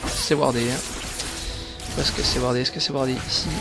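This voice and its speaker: A man commentating his own gameplay live, excitable and coaching the viewers on items. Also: medium pitch, fairly quick, gravelly. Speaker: gamer explaining his play. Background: game music.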